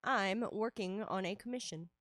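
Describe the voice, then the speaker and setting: A woman's voice: mid-range voice, moderate pace, a bit bright, no room sound, studio quality. A woman livestreaming her art, talking casually with a nasal head cold and lots of fillers. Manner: chatty, laughs often, many fillers. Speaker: livestreaming artist. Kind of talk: casual streaming chat while drawing.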